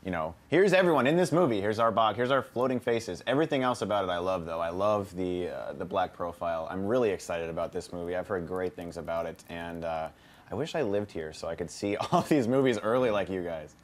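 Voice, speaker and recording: A man's voice: low-pitched, lively intonation, brisk. A man giving opinions casually as a guest on a discussion panel.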